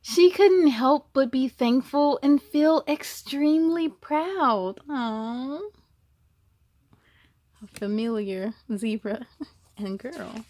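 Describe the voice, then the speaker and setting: A woman with a high voice reads to children in an animated, expressive way. She takes her time and slips in chatty asides and questions for her listeners. The sound is close and dry, with little trace of the room. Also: drawn-out words, no echo. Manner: animated, exclaiming, gasping and musing, asking listeners questions. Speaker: story-time host reading a picture book aloud to children.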